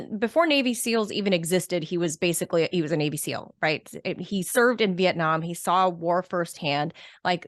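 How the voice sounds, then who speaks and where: A woman's voice: mid-range voice, fairly bright tone, no room sound. A woman with a mid-range voice talks as a podcast guest. She is emphatic but breaks her sentences up with fillers. The recording is dry and close. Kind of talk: casual conversational chat.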